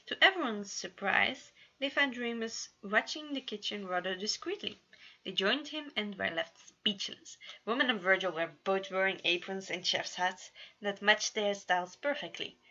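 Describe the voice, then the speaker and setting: Bright-voiced woman reading a story, lo-fi. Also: medium pitch, normal speed. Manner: stumbles over words and corrects herself. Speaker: amateur fan fiction reader. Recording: dull lo-fi home recording.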